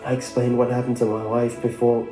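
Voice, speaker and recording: A man reading a scripted first-person horror story in a measured storytelling way. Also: mid-range voice, completely flat delivery, mellow. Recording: roomy sound with music behind. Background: background music.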